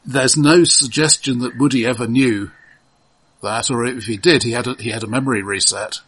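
A man co-hosting a casual film discussion, explaining in a halting, considered way. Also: medium pitch, fairly bright tone, slightly rough, low-quality recording. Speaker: podcast co-host.

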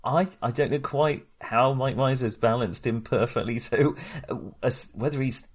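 A man co-hosting a podcast, talking through a film casually in a halting, hesitant way. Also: medium pitch, husky. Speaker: podcast co-host.

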